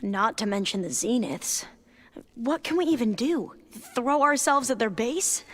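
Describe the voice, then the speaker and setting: A worried frustrated sidekick in a game, highish voice, doubtful, echoey hall. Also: female voice, expressive, unhurried, fairly bright tone. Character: anxious, doubting, frustrated woman companion. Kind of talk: cinematic video game acting.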